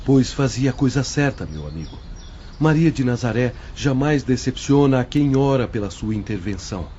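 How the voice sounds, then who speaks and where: A man playing a gentle, mellow-voiced spirit counselor. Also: medium pitch, lively intonation, moderate pace, close to an everyday voice. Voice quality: gentle, reassuring. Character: kind, patient male spirit counselor. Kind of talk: audio drama acting. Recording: dull lo-fi audio with faint noise.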